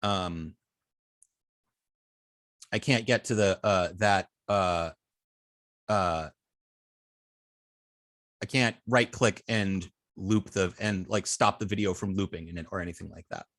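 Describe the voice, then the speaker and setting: A man's voice: low voice, a bit bright, dry recording. Man teaching in a dry, close recording. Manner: halting, hesitant phrasing with frequent ums and self-corrections. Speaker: web development instructor. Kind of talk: live coding tutorial, explaining step by step.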